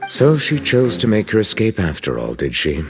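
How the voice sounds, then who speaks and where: A man playing a stern, composed chief justice in a video game, speaking in a formal, measured, courteous way. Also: lowish voice, wildly swooping pitch, soft background music. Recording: long hall reverb.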